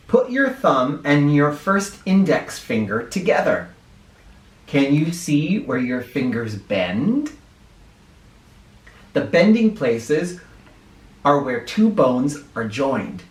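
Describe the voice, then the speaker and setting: Animated, higher-pitched man reading to children. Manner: expressive, instructive, addressing young listeners directly. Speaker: children's book reader. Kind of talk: animated read-aloud of a children's picture book, with asides. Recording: roomy echo, distant from the microphone.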